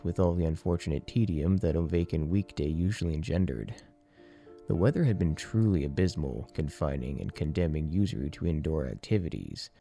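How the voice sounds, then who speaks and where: Very deep, gravelly male audiobook narrator. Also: dark tone. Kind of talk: scripted story narration. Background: music.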